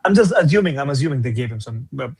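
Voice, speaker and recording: A Barcelona fan on a football podcast, talking excitably with stammers and "bro" in a casual group debate. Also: male voice, mid-range voice, rapid-fire, breathy edge, no echo.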